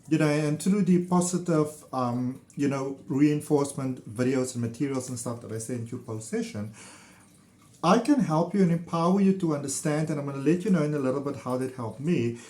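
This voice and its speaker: A man's voice: a little high, mellow. A man with a South African accent sharing his healing-coaching experience in a casual, enthusiastic, meandering way, full of fillers. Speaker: ThetaHealing practitioner. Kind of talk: casual personal testimonial to camera.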